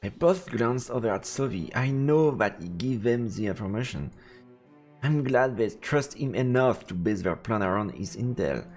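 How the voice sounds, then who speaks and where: A man's voice: mid-range voice, expressive, normal speed, darkish tone. A man reading visual novel narration aloud as he streams a let's play. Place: indoors, streaming setup. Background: faint game music.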